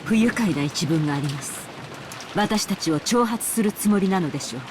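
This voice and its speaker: A woman's voice: fairly low pitch, animated, very fast, noisy background, close to an everyday voice. A woman voicing a stern, dignified middle-aged lady of the house in a game drama, formal and commanding. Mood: grave, displeased. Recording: heavy rain ambience behind the voice.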